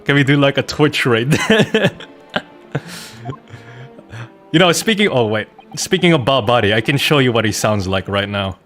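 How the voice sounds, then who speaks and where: A man with a mid-pitched voice talks off the cuff on a gaming livestream. His intonation is very animated and his phrasing loose and informal. Music plays behind him. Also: unhurried, pauses often. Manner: informal, swearing, repeats himself for emphasis. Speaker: streamer, voice actor. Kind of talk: casual livestream chat while gaming.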